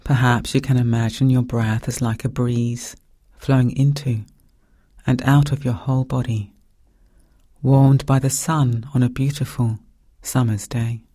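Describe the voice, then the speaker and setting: A man with a soft, breathy voice guiding a meditation in calm, gentle, measured phrases with pauses. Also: mid-range voice, dry recording, studio quality. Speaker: meditation guide. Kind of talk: guided meditation narration.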